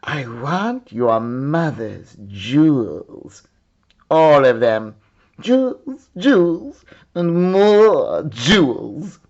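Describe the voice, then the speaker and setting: A man puts on a sly storybook palace cook, greedy and scheming. The words are drawn out slowly with lively pitch, on a slightly dull recording. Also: full character voice. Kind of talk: storybook read-aloud character voice.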